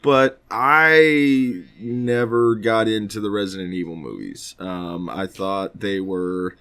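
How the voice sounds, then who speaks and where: A man's voice: on the low side, slow pace, a bit bright, clean tone. A man co-hosting a podcast, chatting casually in an informal, jokey and opinionated way. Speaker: podcast co-host. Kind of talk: casual chat about films and games.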